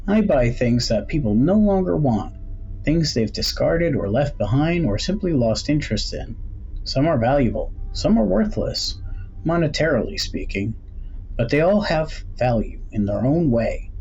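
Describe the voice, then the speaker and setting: A man voicing a middle-aged male antique shopkeeper in a suspense storybook, mysterious and unsettlingly pleasant, welcoming, measured and cryptic. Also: a little high, very animated, darkish tone, voice pushed up. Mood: musing, storytelling. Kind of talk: storybook character voice in suspense tale. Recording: dull recording with faint background noise.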